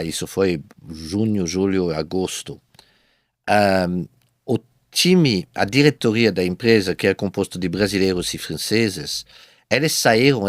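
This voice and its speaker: A man speaking Portuguese as a second language, a guest telling his business story in a halting way, with many repeated false starts. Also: low-pitched, no room sound, clean studio sound. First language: not Portuguese. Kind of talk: casual interview storytelling.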